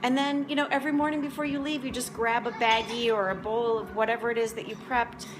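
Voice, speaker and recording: A woman's voice: on the high side, steady tone, quick pace. Rambling woman in her forties, noisy recording. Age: 40s. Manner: rambling, conversational, addressing viewers. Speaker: motivational vlog host and food prep coach. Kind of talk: casual chat to camera.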